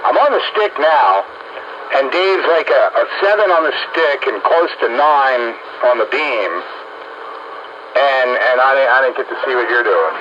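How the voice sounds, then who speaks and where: A man's voice: mid-range voice, lively intonation, measured pace. A man chatting casually over two-way radio as an operator, often stammering and repeating his words. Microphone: radio transmission. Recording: muffled two-way radio with clear static.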